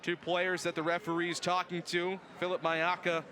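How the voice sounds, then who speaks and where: A man's voice: high voice, completely flat delivery, moderate pace, pushed and bright. A young man calling live soccer play-by-play as the announcer. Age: young adult. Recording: noisy outdoor stadium ambience behind. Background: outdoor match ambience.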